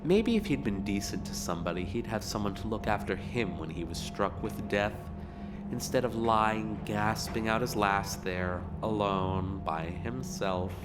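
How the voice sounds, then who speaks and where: A man's voice: lowish voice, expressive, measured pace, over loud music. An actor playing a callous, gossiping Victorian townsman in an audio drama, offhand in manner. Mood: scornful. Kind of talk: theatrical audio drama acting.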